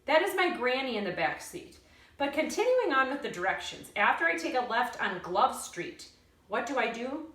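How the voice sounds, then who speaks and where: An earnest young storybook girl, acted by a woman reading aloud, insists on sounding grown-up and formal. The voice is fairly high and lively, a little away from the mic in an echoey room. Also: moderate pace. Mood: earnest polite. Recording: roomy echo, distant mic.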